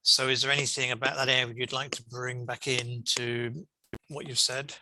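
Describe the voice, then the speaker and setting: Bright-voiced man chairing an online session. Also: mid-range voice, level tone, moderate pace, dry recording, rough recording. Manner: encouraging, rambling feedback. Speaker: conference host and session chair. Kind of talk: informal chairing and feedback over video call. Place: online video call.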